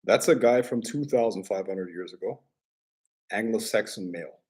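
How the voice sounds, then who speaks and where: A man chatting casually as a livestream host, halting and offhand, often repeating his phrases. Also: fairly low pitch, a little mellow. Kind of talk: casual rambling livestream chat.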